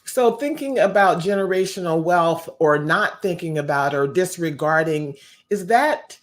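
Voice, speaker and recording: A woman hosting an interview, asking reflective questions in a measured, somewhat formal way with careful phrasing. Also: fairly low pitch, expressive. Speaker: interviewer and host.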